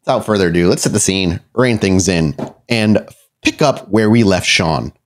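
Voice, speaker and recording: A man's voice: sits low, animated, moderate pace, a little hiss or hum. A man working as a game master, narrating a tabletop roleplay podcast with dramatic, cinematic scene-setting.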